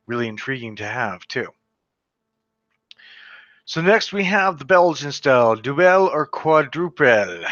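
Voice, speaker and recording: A man's voice: medium pitch, bright, forceful tone, no echo. A man from western Canada casually running through beer award winners, chatty and stumbling over foreign brand names. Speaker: beer reviewer. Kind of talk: casual solo video commentary reading award results. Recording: slightly dull lo-fi sound, dry.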